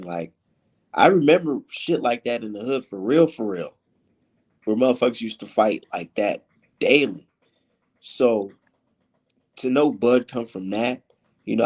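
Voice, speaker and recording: A man's voice: on the low side, darkish tone, breathy edge. An American man in a casual, profane, slangy chat, talking like a sports show host. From United States, Minnesota and Texas. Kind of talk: casual street-talk chat about sports.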